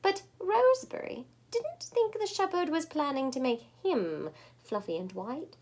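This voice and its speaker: A woman reads a picture book aloud for children. Her voice is high and very lively in pitch, taking its time, with chatty asides along the way. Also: unhurried. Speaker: storybook reader.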